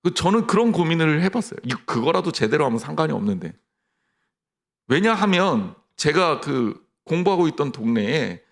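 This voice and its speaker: A middle-aged man preaching a sermon as pastor, lively, mixing mock dialogue with personal stories. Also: fairly high pitch, vocal fry.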